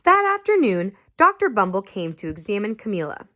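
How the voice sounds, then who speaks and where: A woman reading a picture book aloud to children, expressive and asking her listeners questions. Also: mid-range voice, normal speed. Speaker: story reader.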